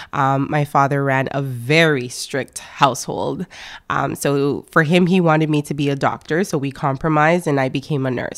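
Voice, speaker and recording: Low, smooth-voiced woman guest. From born in France, raised in Canada. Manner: warm storytelling with fillers. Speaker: guest, event planner. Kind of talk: casual interview talk.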